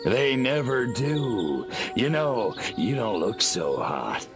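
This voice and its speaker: A man playing a wisecracking, cocky little gray alien invader in a video game, with a sardonic, deadpan manner. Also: mid-range voice, takes it slowly, over music. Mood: flippant.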